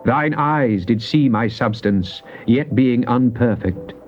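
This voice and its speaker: An actor playing a fervent psalmist, solemn and theatrical in a dramatized Bible reading. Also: male voice, medium pitch, slow, faint music behind, natural voice. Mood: awed. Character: fervent psalmist addressing God in prayer and lament. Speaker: scripture reader. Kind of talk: dramatized Bible reading, British stage delivery. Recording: muffled, noisy old cassette tape.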